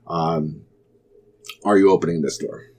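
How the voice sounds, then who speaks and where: A man narrating a tabletop role-playing game as game master, explaining scenes in a halting, explanatory way. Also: sits low, fairly even intonation, a little mellow.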